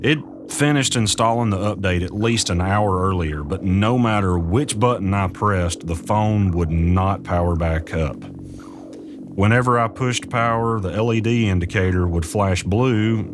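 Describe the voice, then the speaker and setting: An actor playing an uneasy man who recounts eerie events in measured, suspenseful first-person audio drama narration. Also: low-pitched, expressive, background noise. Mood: tense recounting. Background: music.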